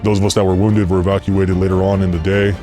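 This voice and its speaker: Low-voiced, plain-spoken soldier. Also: male voice, flat delivery, fast talker, mellow, faint music behind. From United States. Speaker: soldier interviewee, former Marine. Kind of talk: interview testimony.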